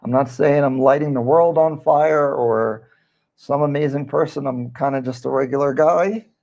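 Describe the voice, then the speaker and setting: A man's voice: medium pitch, moderate pace, gravelly, a little mellow, highs cut off. A man hosting a casual livestream, talking in a loose, rambling way and reading viewer comments aloud. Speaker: livestream host, real estate broker.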